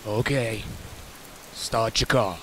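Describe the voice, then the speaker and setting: A man playing a menacing, falsely helpful tow truck driver in an amateur radio play, under a loud rainstorm. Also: lowish voice, slow, slight background noise. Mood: curt, helpful.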